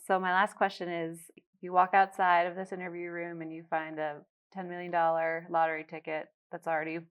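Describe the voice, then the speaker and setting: A woman's voice: on the low side, flat delivery, clear, resonant tone, dull audio. Upbeat woman interviewer, laughs often. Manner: upbeat, laughs a lot, quick affirmations. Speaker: interviewer, magazine editor. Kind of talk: casual interview.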